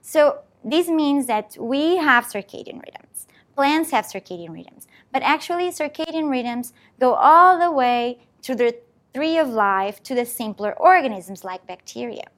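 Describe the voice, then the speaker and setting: Woman researcher, animated and higher-pitched, patient explainer. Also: a little slow. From Portugal. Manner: explanatory, walking through an experiment step by step. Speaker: scientist. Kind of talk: presentation talk.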